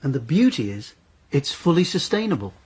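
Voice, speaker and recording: Earnest, husky arty craftsman. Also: on the high side, big pitch swings, fairly quick, a little mellow. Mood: earnest enthusiasm. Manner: pompous, earnest. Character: earnest, pretentious arty male craftsman-builder. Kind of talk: radio drama acting.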